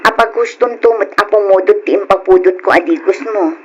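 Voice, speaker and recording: A woman's voice: medium pitch, a little fast, breathy edge, lightly acted. A woman acting a caring mother who fusses over her grown children in an old-style radio drama. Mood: fussing.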